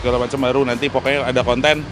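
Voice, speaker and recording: A young man hosting a casual vlog at an outdoor garage, teasing and joking in relaxed slang. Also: medium pitch, rapid-fire, over music. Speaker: vlog host. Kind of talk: casual chat.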